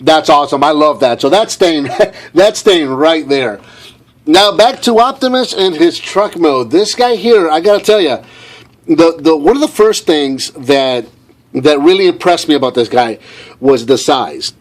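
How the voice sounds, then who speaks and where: A man's voice: on the high side, animated, a little slow. A man hosting an unscripted toy review, talking enthusiastically and repeating phrases for emphasis. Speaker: toy reviewer, show host.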